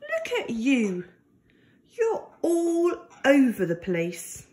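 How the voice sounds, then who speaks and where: A woman reading a picture book aloud to young children in a gentle, deliberate and expressive way. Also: high voice.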